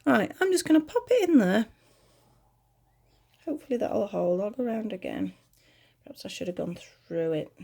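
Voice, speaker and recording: A British woman hosting a crochet tutorial at home, chatty and rambling as she talks through each step, her voice a little croaky. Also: medium pitch, big pitch swings, moderate pace, long pauses, dark tone. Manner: friendly, rambling, talks while working.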